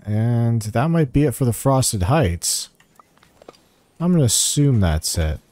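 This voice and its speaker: Mellow man narrating a game walkthrough. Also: medium pitch, very animated, slow pace, dry recording. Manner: thinking aloud, talking to game characters. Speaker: gaming guide host. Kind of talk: casual video game walkthrough commentary. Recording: music behind the voice.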